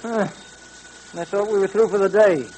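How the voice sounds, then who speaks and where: A man acts as a talkative, weary male sidekick to a detective in vintage radio drama. His voice is high, with a plain folksy delivery. The aged recording adds faint hiss. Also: fairly even intonation, moderate pace, darkish tone, close to an everyday voice, rough recording. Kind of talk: 1930s radio drama acting. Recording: hissy old radio recording.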